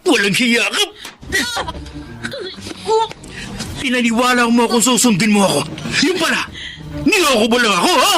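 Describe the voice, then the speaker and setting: A man's voice: lively intonation, fast, bright, forceful tone, heavily acted. A man playing a manipulative young boyfriend who wheedles, gloats and snaps in a radio drama. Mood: angry. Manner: wheedling then sneering, jumpy pitch. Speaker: villain boyfriend. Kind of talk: Tagalog radio drama acting.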